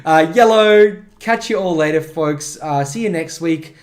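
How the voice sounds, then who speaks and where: A man from New with a slightly higher voice, hosting a live stream in easy, rambling chat. He often laughs and reads out viewers' names one after another, casual and friendly. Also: a little slow. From New Zealand. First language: not English. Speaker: stream host.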